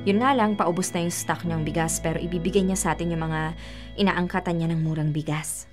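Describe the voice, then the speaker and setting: An actress with a Filipino accent playing a practical, doting and excitable middle-aged wife and mother in a radio drama. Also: on the low side, fairly quick, over music, natural voice. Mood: excited persuasion. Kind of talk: Tagalog radio drama acting.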